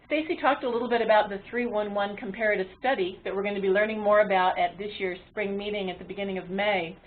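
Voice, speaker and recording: A woman's voice: mid-range voice, steady tone. Woman presenting over a phone-like line. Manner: halting delivery with frequent ums and uhs. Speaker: webinar presenter and moderator. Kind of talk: webinar presentation, explaining to an online audience. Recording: muffled, phone-like webinar audio line.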